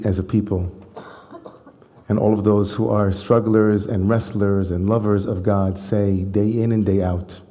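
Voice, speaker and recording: A man giving a sermon as a rabbi, telling a story with rising emphasis and then slowing into soft, reflective phrases. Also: fairly low pitch. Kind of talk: sermon to a congregation. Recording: long hall reverb.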